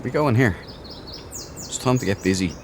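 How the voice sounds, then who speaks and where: A man's voice: fairly low pitch, lively intonation, moderate pace. A man giving casual, playful let's play commentary with chatty, joking asides. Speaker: gaming host. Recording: clear background noise under voice. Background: game ambience.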